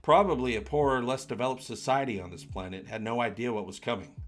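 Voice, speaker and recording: A man voicing a calm, practical starship officer in a science fiction read-along. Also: mid-range voice, fast talker, soft background music, pitched below the performer's own voice, lightly acted. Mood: serious, analytical.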